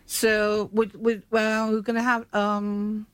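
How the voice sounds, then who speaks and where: A woman's voice: mid-range voice, completely flat delivery, slow pace, smooth, no room sound. A woman chatting as a guest in a radio interview. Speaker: radio guest, stage manager. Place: radio studio.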